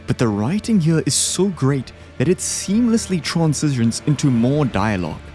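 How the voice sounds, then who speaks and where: A man's voice: a little high, very animated, normal speed, darkish tone. Man doing video commentary over music. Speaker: video creator ranking game bosses. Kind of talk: scripted video essay commentary. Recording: game music behind the voice.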